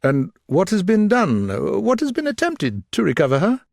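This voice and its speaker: A man reading aloud, voicing a reserved young gentleman who speaks with urgent, earnest concern. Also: high voice, wildly swooping pitch, moderate pace, dry recording, clean studio sound, voice pushed up, full character voice. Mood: shocked. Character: reserved young gentleman, shocked but composed.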